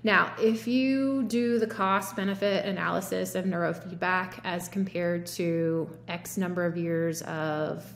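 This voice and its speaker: A woman in her thirties, a physician, explaining treatment options in a relaxed way with many fillers, recorded over a video call from a home office. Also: lowish voice, a little slow, hardly any pauses. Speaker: physician guest. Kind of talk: conversational medical discussion. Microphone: laptop or webcam mic on video call. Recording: roomy video call audio with faint noise.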